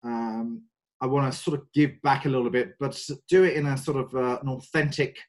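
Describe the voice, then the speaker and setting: A man in his forties with a London English accent, a coach speaking as a podcast guest, thoughtful and hesitant with many pauses and fillers. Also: medium pitch, steady tone. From North London, England. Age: 40s. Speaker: guest, sales and mind coach. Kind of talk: podcast interview.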